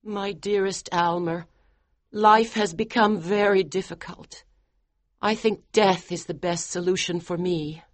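A woman's voice: on the low side, steady tone, a little slow, dry recording, lightly acted. Woman acting a devoted, anguished wife, dry sound. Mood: resigned. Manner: earnest, theatrical. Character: devoted, anguished young wife, earnest and determined. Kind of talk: dramatised audiobook acting.

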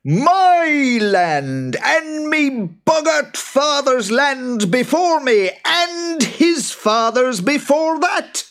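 Shrieking, furious hairy boggart. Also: male voice, very high voice, sing-song, pitched above the performer's own voice, heavily acted. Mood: shrieking rage. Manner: shrieking, drawn-out yelling.

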